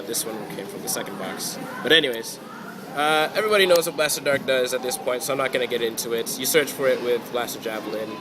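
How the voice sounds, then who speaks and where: A man walking through his card game deck in a relaxed, offhand way, swearing now and then and saying he is sick and losing his voice. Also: medium pitch, noisy background. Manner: laid-back, swears casually, trails off with fillers. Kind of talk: casual deck walkthrough. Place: hobby store.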